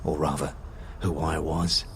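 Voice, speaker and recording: Man playing a low-voiced, bitter undead skull. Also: little pitch movement, a little slow, soft background music. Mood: bitter, guarded. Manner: streetwise slang, scornful, guarded. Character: bitter, conspiratorial dead anarchist, now a talking skull. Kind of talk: fantasy game audio drama acting.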